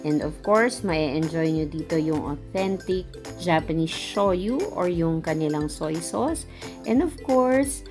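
A middle-aged Filipino-accented woman narrating a food vlog in a casual, presenting style. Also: fairly low pitch. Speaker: food vlogger.

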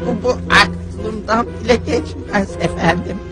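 A man's voice: high-pitched, measured pace. A man playing a small, nervous, fawning villain's henchman in a dubbed cartoon, servile and secretly resentful. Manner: servile, stammering, muttering. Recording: music under the voice.

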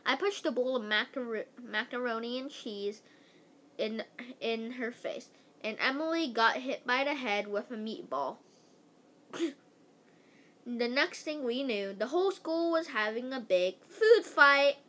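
A female voice giving an animated read-aloud of a children's book, stumbling over words now and then and adding casual asides. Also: on the high side, unhurried.

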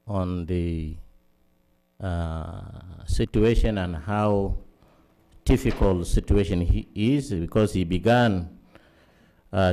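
A middle-aged man with a Sudanese accent, giving formal panel remarks in a halting, earnest way with many fillers, speaking into a table mic in a conference room. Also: sits low, warm tone.

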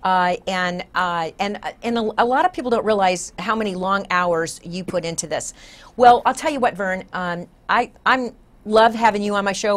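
An older woman with an American accent, hosting a conversational interview as a talk show host. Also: medium pitch. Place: small indoor TV studio.

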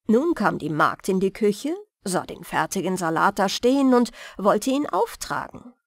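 A woman storyteller reading scripted bedtime fairy tales with expressive, careful phrasing. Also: medium pitch, unhurried, no echo, clean studio sound. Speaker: storyteller, audiobook reader.

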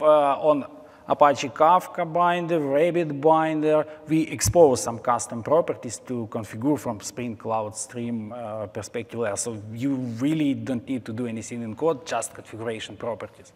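A man answering audience questions at a tech conference, quick to agree. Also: medium pitch. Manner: brief interjections, quick agreement. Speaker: conference speaker. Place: large conference ballroom. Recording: reverberant ballroom.

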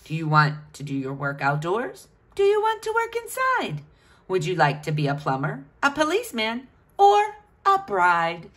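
A woman's voice: mid-range voice, wildly swooping pitch, moderate pace, fairly bright tone. A woman reading a picture book aloud to children, with a sing-song, questioning delivery.

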